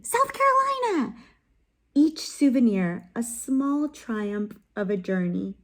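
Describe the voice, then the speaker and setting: A woman hosting a children's read-aloud, reading a picture book expressively, pausing to ask her listeners questions and sometimes dropping to a soft whisper, close to the mic. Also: mid-range voice.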